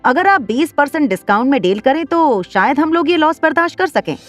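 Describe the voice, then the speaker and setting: A narrator voicing a middle-aged aunt who calmly negotiates a deal. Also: high voice, fairly quick, soft background music, pitched above the performer's own voice. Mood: reasoning.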